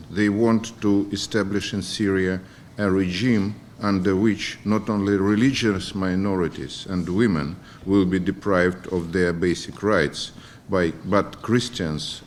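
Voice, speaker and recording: A man, a diplomat reading out a formal address somewhat haltingly at a conference. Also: sits low, slight background noise. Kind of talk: formal read speech. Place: conference room.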